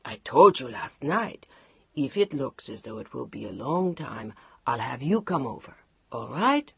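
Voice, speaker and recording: A weary middle-aged businessman answers in a lowered, clipped, impatient voice, as an audiobook reader puts him on. The sound is husky and dry, close to the mic. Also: big pitch swings, measured pace, dry recording, heavily acted. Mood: exasperated. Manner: curt, sighing, impatient. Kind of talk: audiobook character voice.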